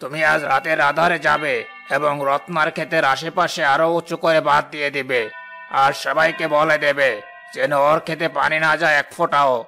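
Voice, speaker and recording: Man playing a sharp, gravelly, greedy landlord villain. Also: on the high side, little pitch movement, brisk, faint music behind. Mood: menacing. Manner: commanding, sharp. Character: greedy, cruel, scheming village landlord, bossy. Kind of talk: children's moral fairy-tale cartoon dubbing.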